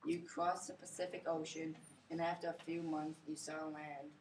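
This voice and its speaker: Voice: mid-range voice, little pitch movement, moderate pace, a little mellow, distant mic. A talk show host in a homemade skit, asking questions in an upbeat, chatty way. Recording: roomy, off-mic and noisy.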